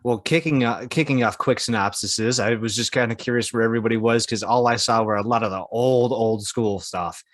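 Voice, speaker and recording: A man's voice: mid-range voice, brisk, few pauses, dry recording. A man hosting a casual podcast, easygoing and asking his co-hosts questions. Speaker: podcast host. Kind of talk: casual chat.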